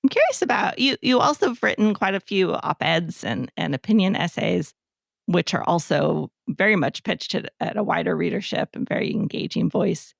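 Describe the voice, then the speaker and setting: A woman's voice: fairly low pitch, sing-song, moderate pace, gravelly, dry recording, clean studio sound. A woman hosting an interview podcast, asking questions in a conversational way.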